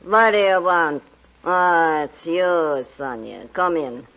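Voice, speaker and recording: A woman's voice: fairly low pitch, slow pace, slight background noise. A mean old woman pawnbroker from a vintage radio play. She haggles in a curt, suspicious way, and the sound is dull and muffled with age. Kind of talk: 1940s radio acting.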